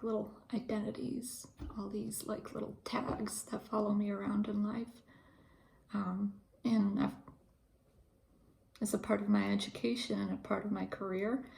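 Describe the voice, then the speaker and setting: A woman in her thirties from Ukraine speaks slowly and thoughtfully, pausing often as she works through her ideas. Her voice has a heavy, gravelly fry, and her pitch sits in an ordinary middle range. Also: dark tone, poor audio. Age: 30s. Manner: thoughtful, hesitant, many pauses and fillers. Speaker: pharmacist and video host. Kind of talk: reflective casual monologue.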